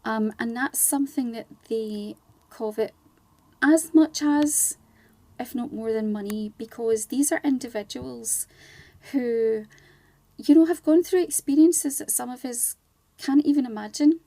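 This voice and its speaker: A woman's voice: fairly high pitch, unhurried, dark tone, no room sound. A woman speaking as a charity ambassador and guest in a conversational radio interview, chatty and explanatory with wandering asides.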